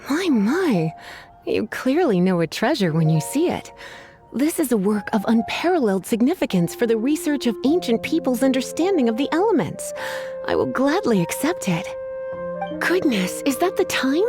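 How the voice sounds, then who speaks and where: Coy, high-voiced, teasing librarian. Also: female voice, sing-song, moderate pace, over music. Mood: delighted. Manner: coy, drawling, teasing, sighing. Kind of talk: video game voice acting.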